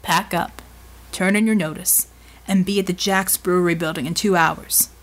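A woman's voice: lowish voice, steady tone, normal speed, close to an everyday voice. A woman narrator voicing a big, stern military man in an audiobook, blunt, matter-of-fact and unsentimental.

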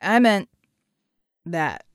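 A woman's voice: lowish voice, big pitch swings, drawn-out words, smooth, dry recording, clean studio sound, pitched below the performer's own voice, heavily acted. A woman voicing a brash, playful male rogue, exasperated and boozy, with loose slangy delivery in an audiobook. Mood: tipsy, rueful.

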